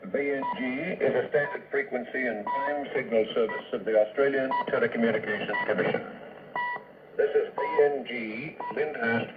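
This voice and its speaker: A man giving a formal scripted station identification as a time signal announcer, phrase by phrase, over shortwave radio. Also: medium pitch. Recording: very noisy, narrow shortwave radio recording.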